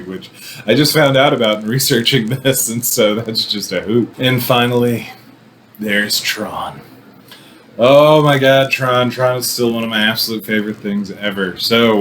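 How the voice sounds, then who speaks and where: A man's voice: medium pitch, a bit bright, slight background noise. A man giving casual, enthusiastic film commentary in an effusive, digressive way, with playful mock-combative asides. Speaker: film reviewer presenting a show.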